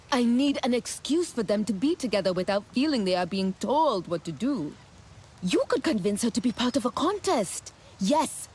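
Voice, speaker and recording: A woman's voice: a little high, slightly rough. A woman playing an exasperated young alien clanswoman in a video game, dramatic, emphatic and given to complaint. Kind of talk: video game dialogue acting.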